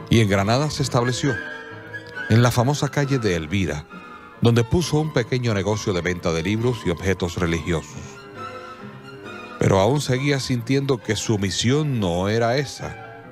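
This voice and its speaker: A man narrating a radio drama in a measured, solemn storytelling manner. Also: lowish voice.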